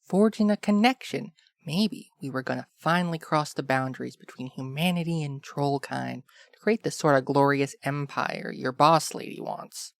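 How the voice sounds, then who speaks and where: Creaky-voiced, cocky captive joker played by a man. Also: fairly high pitch, moderate pace. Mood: mock wounded. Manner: wry, mock-dramatic. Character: cocky, flirtatious captive male filmmaker, joking under interrogation. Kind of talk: audio fiction dialogue.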